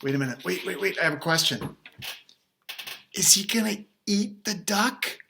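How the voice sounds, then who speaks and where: A man's voice: high-pitched, expressive, normal speed, vocal fry, noisy background. A man, a father co-hosting a children's read-aloud, chatting casually with joking, teasing and mock-dramatic interjections. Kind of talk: casual playful chat and interjections.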